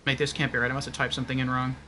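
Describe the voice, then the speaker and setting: A man's voice: mid-range voice, level tone, racing, pushed and bright, a little hiss or hum. A man giving casual livestream game commentary, thinking aloud and reasoning things out step by step.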